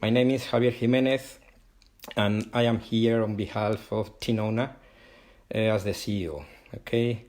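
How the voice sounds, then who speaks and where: A man's voice: fairly low pitch, steady tone, unhurried, a little mellow. A middle-aged man from Spain with a Spanish accent, English not his first language, giving a virtual company talk with many "uh" and "okay?" fillers and restarts. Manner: hesitant, frequent "uh" and "okay?" tags, self-correcting. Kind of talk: live online presentation.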